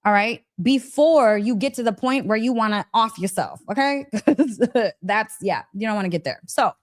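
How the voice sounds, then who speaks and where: Woman wellness coach chatting live, kinda high voice, emphatic, keeps checking in with listeners. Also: animated, moderate pace. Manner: conversational, emphatic, frequent check-in tags, breaks into laughter. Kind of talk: casual motivational live talk, coaching.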